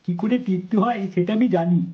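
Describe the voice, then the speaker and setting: A man's voice: high voice, fairly quick, highs cut off, a crowd in the background, close to an everyday voice. A man voicing a lecherous, greedy, crude male doctor in a comedy cartoon.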